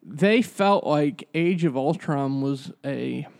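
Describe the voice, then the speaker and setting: A man's voice: fairly high pitch, animated, slow, vocal fry, darkish tone. A man co-hosting a casual podcast, laid-back and teasing, swearing casually. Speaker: podcast co-host.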